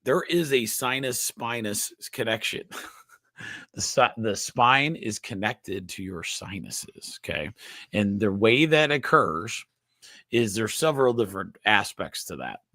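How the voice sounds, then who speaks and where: A nasal, somewhat low-pitched man explaining health topics to a live online audience. He speaks haltingly, restarting his phrases and tagging lines with quick check-ins. The sound is close and dry, with no room echo. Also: animated, sharp tone. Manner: halting, frequent restarts, checks in with "okay?" and "right?". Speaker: chiropractor host.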